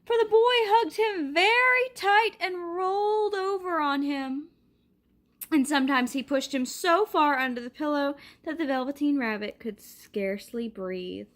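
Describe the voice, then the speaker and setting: A woman reads a children's picture book aloud. Her delivery is gentle and expressive. Her voice sits somewhat high for a woman. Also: measured pace. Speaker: storybook reader.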